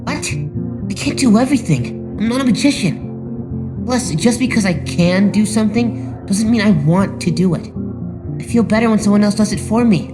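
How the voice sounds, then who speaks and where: A woman's voice: mid-range voice, expressive, moderate pace, music in the background, lightly acted. A woman voicing a playful, bubbly teenage idol boy in an anime fandub, cheeky in manner.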